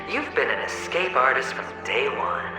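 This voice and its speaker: An actor playing a smooth, theatrical, world-weary young gambler in a video game, speaking deliberately with dramatic pauses. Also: male voice, mid-range voice, lively intonation, lightly acted. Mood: mocking. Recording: heavy echo, long reverb, music underneath. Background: music.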